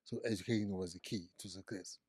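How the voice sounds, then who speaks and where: A man's voice: low voice, fairly even intonation, fast, darkish tone, breathy edge, no echo, clean studio sound. A man in his forties from Zimbabwe, speaking as a guest telling his life story in a casual interview, often repeating words and restarting. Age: 40s. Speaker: guest, psychiatric nurse practitioner.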